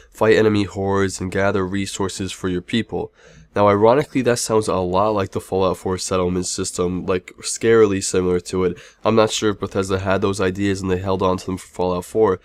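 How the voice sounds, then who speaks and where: A man's voice: sits low, darkish tone. A man hosting a gaming video in a casual narration, sounding a little sick. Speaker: video host.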